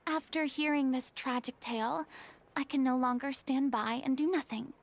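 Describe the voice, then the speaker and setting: A woman's voice: high voice, fairly even intonation, normal speed, creaky. An actress voicing a theatrical former goddess in a game cutscene, lofty and ornate in her speech. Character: grand theatrical former goddess, young woman. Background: game music.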